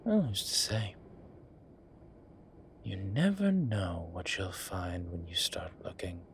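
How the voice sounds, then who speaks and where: A man voicing a flirtatious demon professor who keeps up a genteel, professional front, speaking softly and often in a whisper. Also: sits low, very animated, a little hiss or hum, exaggerated voice. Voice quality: soft, often whispered, unhurried. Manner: teasing, measured, genteel. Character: flirtatious male demon professor, composed, teasing. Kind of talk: audio roleplay.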